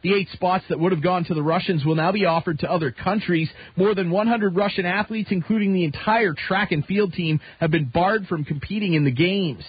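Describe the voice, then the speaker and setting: A man's voice: sits high, fairly quick, few pauses, slightly rough, dry recording, muffled recording. A Canadian man reading a scripted newscast as a radio news anchor. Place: radio studio.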